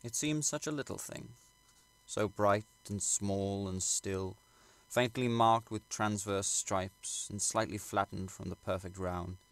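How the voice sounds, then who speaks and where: Male audiobook narrator, lowish gravelly voice, measured formal delivery of classic literary prose. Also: fairly bright tone, dry recording. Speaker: audiobook reader.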